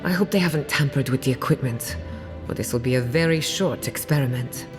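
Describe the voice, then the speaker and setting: Video game companion: confident female researcher, low register, dry wit, guarded, over music. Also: a little fast. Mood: determined. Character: driven, wry female scholar, guarded about family loss. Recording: music playing behind the voice.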